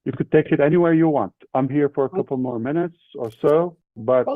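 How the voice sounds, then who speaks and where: A man's voice: fairly high pitch, fairly quick, no echo. A man leading an online session, giving an emphatic motivational business talk in short declarative phrases. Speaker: leader and main speaker of an online group session. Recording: thin phone-like call audio.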